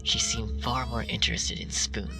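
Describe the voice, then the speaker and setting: A man reading a dark story aloud in a hushed, half-whispered narration. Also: mid-range voice, wildly swooping pitch, moderate pace, creaky. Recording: distant mic with music behind.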